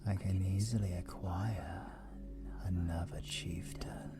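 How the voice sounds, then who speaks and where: Sinister ancient demon, breathy whisper, very slow delivery, grandiose menace, British accent, fantasy roleplay, loud music behind. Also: male voice, deep voice, steady tone. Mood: silky menace. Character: ancient dark demon lord, sinister and possessive. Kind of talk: fantasy audio roleplay monologue.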